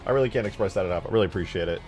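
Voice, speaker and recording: A man's voice: sits low, fairly even intonation, racing, darkish tone. A man livestreaming a game modding session in a casual, slangy, jokey way, thinking aloud as he works. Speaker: live streamer and game modder. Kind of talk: casual livestream chat and screen tutorial. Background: music.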